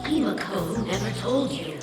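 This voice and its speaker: An actress playing a guarded, loyal keeper of a secret in a video game, speaking in a measured, formal and expressive way. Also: female voice, mid-range voice, distant mic, close to an everyday voice. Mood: solemn. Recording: very echoey reverberant hall, loud music behind.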